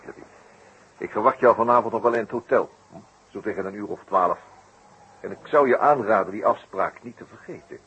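An actor as an urbane low-voiced police inspector. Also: male voice, close to an everyday voice. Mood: pensive, firm. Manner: urbane, inquisitive, measured. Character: courteous, probing French police chief inspector. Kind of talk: 1960s Dutch radio drama acting. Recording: dull, noisy 1960s radio recording.

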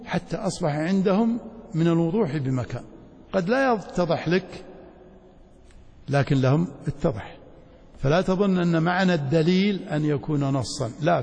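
A Saudi man lectures as a sheikh in a large, reverberant hall. His voice is husky and creaky, and he teaches didactically, putting short checking questions to his students. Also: a little high, darkish tone. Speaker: Islamic studies teacher, sheikh. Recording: echoey hall with long reverberation.

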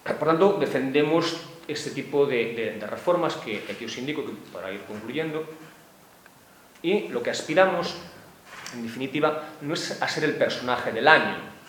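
A man's voice: mid-range voice, expressive, a bit bright, a little hiss or hum. Man arguing a point in an echoey room. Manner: measured and argumentative, with stammered repetitions. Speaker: panel speaker, activist. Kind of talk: prepared talk at a round table. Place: cultural centre lecture room. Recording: echoey room with faint ambience.

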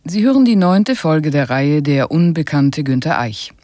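A woman's voice: low voice, big pitch swings, moderate pace, mellow, dry recording, studio quality. A woman radio presenter reading a scripted introduction in German broadcast style.

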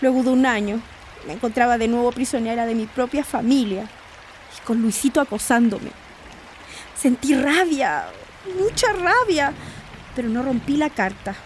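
A woman's voice: fairly high pitch, lively intonation, normal speed, a little hiss or hum, close to an everyday voice. A woman playing a nostalgic, teasing wife in a radio drama. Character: nostalgic wife reminiscing, teasing her husband.

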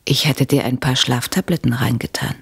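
An actress in a German radio play as a calculating wife who speaks softly and soothingly while coldly poisoning her husband, her voice husky and breathy. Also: very deep voice, fairly even intonation, moderate pace, exaggerated voice. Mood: calm, tender. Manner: soft, coaxing, controlled.

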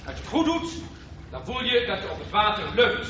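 Brisk swimming instructor, echoing, lively voice. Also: male voice, high voice, lo-fi sound, slight background noise, full character voice. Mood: instructing, brisk. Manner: instructing, plain-spoken. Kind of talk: Dutch radio drama acting.

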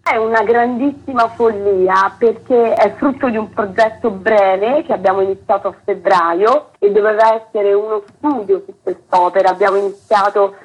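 A woman's voice: medium pitch, fairly even intonation, moderate pace, hardly any pauses, breathy edge, poor audio. A woman being interviewed on the radio as a theatre director, explaining earnestly in long, fluent sentences.

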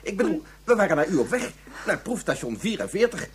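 Husky, troubled, halting man. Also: high voice, sing-song, heavily acted. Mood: bewildered. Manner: hesitant, keeps restating himself. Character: guilt-ridden, despairing, halting intellectual man. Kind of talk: radio drama acting.

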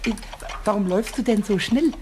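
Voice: medium pitch, fairly quick, lightly acted. An actor playing a cheeky, bossy, childlike male kobold, chattering and giggly, in a German children's radio play. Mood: amused, playful. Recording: noisy old radio play with ticking clocks.

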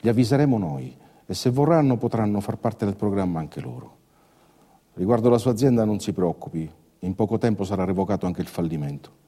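An actor playing a formal, reassuring official in a stage drama, persuasive in manner, with a husky voice. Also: male voice, deep voice, mellow, full character voice. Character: reassuring official arranging a family's witness protection. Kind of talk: theatrical monologue drama acting. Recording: reverberant hall sound.

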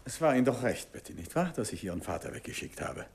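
An actor playing a suave, courteous, gently persistent detective novelist in a 1950s German radio drama, with a husky voice. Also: male voice, mid-range voice, sing-song, quick pace, a little hiss or hum. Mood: courteous, persuasive. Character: suave, calmly insistent male detective novelist and amateur sleuth.

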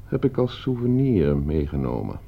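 Deep calm scheming teacher, super polite but menacing, old dull radio play sound. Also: male voice, moderate pace, gravelly, full character voice. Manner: controlled, polite, insinuating. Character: calm, calculating male schoolteacher, polite but menacing manipulator. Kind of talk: Dutch radio play acting.

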